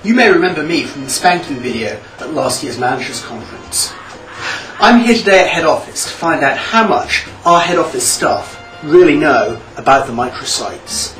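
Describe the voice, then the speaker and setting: Guy presenting a jokey staff quiz, lively voice, echoey, music behind. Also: fairly high pitch, normal speed, far from the mic. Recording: echoey room, off the mic, music.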